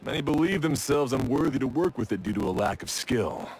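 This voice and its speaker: An actor playing a proud, exacting blacksmith in a video game, speaking in a measured, wry, matter-of-fact way. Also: male voice, mid-range voice, expressive, darkish tone, slight background noise, lightly acted, poor audio. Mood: earnest, reflective. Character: proud, exacting male blacksmith, gruff but obliging craftsman. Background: game music.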